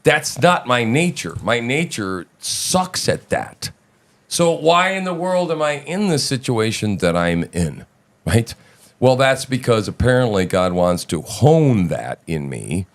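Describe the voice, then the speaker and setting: A man's voice: mid-range voice, sing-song, a little slow. A man hosting a live audio talk show, talking casually and emphatically, swearing freely and often asking "right?". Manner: profane, emphatic, keeps checking in with "right?".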